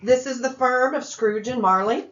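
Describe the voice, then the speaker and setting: A woman playing a courteous, earnest charity gentleman in a family radio drama, with a formal, polite manner. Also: sits high, normal speed, lo-fi sound, background noise, lightly acted.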